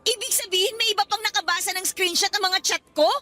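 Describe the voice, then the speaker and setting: Very high-voiced, defensive office worker. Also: female voice, fairly even intonation, fast talker. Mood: hurt, indignant. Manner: pleading, indignant. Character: defensive, hurt young female office worker. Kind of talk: Tagalog radio drama acting.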